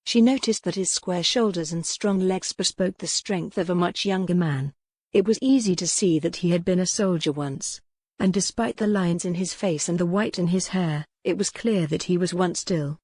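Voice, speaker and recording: A woman narrates an audiobook in a fairly low voice, recorded dry and close. Her reading is scripted historical romance, told with expressive, dramatic storytelling. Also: normal speed, breathy edge, no room sound.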